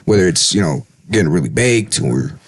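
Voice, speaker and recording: Podcast guest, man, husky, animated, jokester. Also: low-pitched, moderate pace. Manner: jokey storyteller who slips into put-on accents. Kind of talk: casual podcast chat. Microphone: studio podcast headphones and mic.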